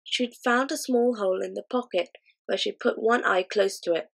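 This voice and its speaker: Give me a woman narrating a children's storybook with a mid-pitched voice. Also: moderate pace, vocal fry. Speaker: audiobook reader.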